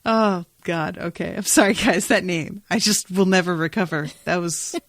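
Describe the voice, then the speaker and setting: A woman's voice: lowish voice, normal speed, fairly bright tone, no echo. Casually sweary woman podcaster, close dry sound. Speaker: podcast co-host. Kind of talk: casual TV recap chat.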